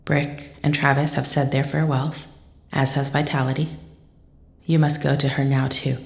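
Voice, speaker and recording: A loyal, solemn woman in an audio drama. Her voice sits low with a gravelly creak. She speaks formally and firmly, in a roomy, echoing sound. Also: flat delivery. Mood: grave, urgent. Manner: formal, deliberate phrasing. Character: grave, loyal female friend, formal and firm.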